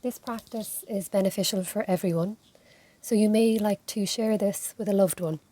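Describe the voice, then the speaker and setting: A woman with an Irish accent leading a guided meditation as an instructor, speaking in a gentle, soothing, unhurried manner. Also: medium pitch, no room sound. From Ireland. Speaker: physiotherapist and yoga instructor leading relaxation.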